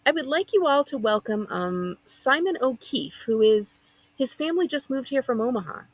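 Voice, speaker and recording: A woman's voice: high voice, lively intonation, normal speed, no echo, voice pushed up. A woman reading a children's novel aloud as a young female teacher, syrupy and over-solicitous. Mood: bright, gushing. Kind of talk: audiobook character voice.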